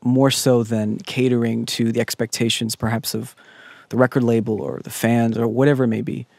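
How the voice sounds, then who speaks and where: A man's voice: medium pitch, flat delivery, normal speed, vocal fry, darkish tone, no room sound. A man hosting an interview, asking earnest and enthusiastic questions in casual chat. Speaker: podcast host.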